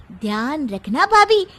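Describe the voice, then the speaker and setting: A woman's voice: high-pitched, pushed and bright, full character voice. A woman voicing a rude, smug college-age girl who teases her family, in an exaggerated sneering cartoon manner. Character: young mocking, giggling college-girl sister-in-law, rude and smug. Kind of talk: Hindi cartoon story dialogue.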